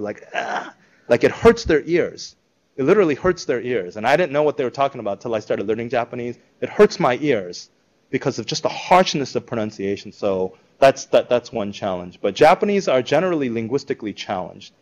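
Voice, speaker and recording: A man giving an informal talk to a live audience, conversational and animated, acting out quoted reactions. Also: mid-range voice, poor audio. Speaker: speaker presenting a school project.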